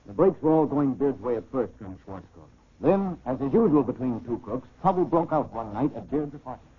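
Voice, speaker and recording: An actor playing a police chief narrating a criminal case in scripted 1940s radio style, with a husky voice. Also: male voice, medium pitch, big pitch swings, brisk. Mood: brisk, official. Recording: tinny band-limited 1940s radio recording.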